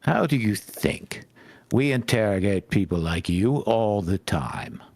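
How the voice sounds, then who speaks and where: A man's voice: fairly low pitch, expressive, unhurried, a little mellow, no room sound. A man voicing a cold, smug interrogator from a dystopian state in a comic fanfiction reading.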